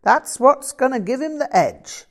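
A woman's voice: high-pitched, lively intonation, a little slow, pitched above the performer's own voice. A woman voicing an exuberant, streetwise American bluesman in an audiobook story, slangy and teasing. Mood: musing, amused. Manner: slangy, swaggering, drawling out phrases.

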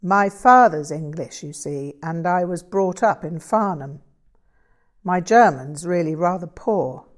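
A modest English musician and painter from a novel , voiced by a woman reader. He explains himself wryly and thoughtfully, always playing himself down, at a measured pace. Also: fairly low pitch, animated. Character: Vic, self-deprecating English bluesman and painter, wry, thoughtful.

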